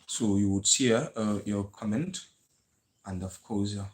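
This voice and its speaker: A man from the Gambia with a Gambian English accent, hosting a live history talk in an earnest lecturing way, with frequent pauses and restarts. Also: fairly low pitch. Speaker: host of a history channel. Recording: echoey room sound.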